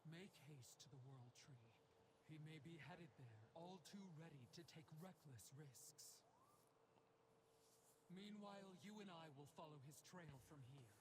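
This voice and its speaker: An actor playing a grave dragon leader in a fantasy game, speaking formal, commanding lines with urgency. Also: male voice, a little high, expressive, a little slow, background noise. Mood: urgent, commanding. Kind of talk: scripted fantasy game dialogue.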